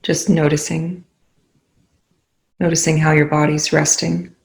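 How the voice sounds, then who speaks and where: A meditation guide speaks very slowly in an even, level tone with noticeable creak at the edges. The delivery is soothing and unhurried, leaving long pauses between short phrases. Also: medium pitch, low-quality recording.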